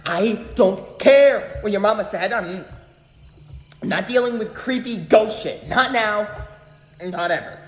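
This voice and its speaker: A woman's voice: mid-range voice, lively intonation, slow pace. A woman playing a sweary, sarcastic, exasperated young character in an amateur radio play. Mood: panicked, furious. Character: foul-mouthed, exasperated young camper trapped in a stall. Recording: echoey hall-like space with faint noise.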